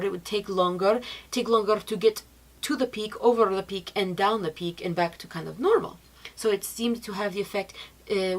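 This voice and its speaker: High-voiced young woman telling anecdotes. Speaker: speaker presenting product testimonials. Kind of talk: informal storytelling to camera. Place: small decorated indoor set.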